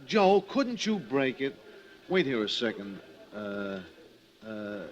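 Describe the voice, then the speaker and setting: An actor playing a scheming crook who poses as an old pal in a radio drama, with a halting, repetitive, offhand delivery. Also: male voice, medium pitch, big pitch swings. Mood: falsely chummy.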